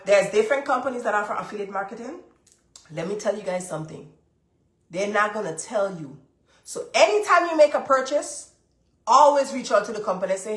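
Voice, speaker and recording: A woman's voice: a little high, fairly bright tone. A congested woman hosting a live session who gives motivational money advice. She speaks emphatically, repeats her key points and talks straight to her viewers. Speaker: livestream host. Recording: roomy, echoey room sound.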